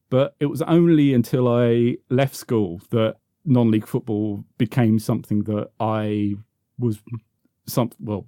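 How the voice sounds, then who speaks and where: Man, fifties, London accent, lowish mellow voice, dry close sound, casual reminiscing, rambling and self-correcting. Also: dry recording. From South London, England. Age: 50s. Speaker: interview guest, football podcaster.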